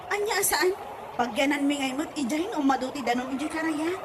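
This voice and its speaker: A woman playing a nagging, excitable village wife in a comedy audio drama, with an Ilocano accent. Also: sits high, fairly quick, background noise. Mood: gossiping.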